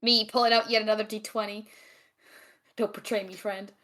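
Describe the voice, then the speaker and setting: A woman's voice: medium pitch, level tone, normal speed, a bit bright. A woman playing a tabletop game, chatting casually with self-mocking exasperation. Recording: music under the voice.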